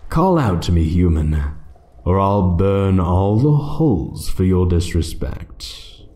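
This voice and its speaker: Ancient male dragon, acted by a man for fantasy ASMR: low, dark voice, condescending menace, toys with his prey. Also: big pitch swings, heavily acted. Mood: taunting. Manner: slow, taunting, condescending, dropping into whispers. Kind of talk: one-sided ASMR roleplay acting.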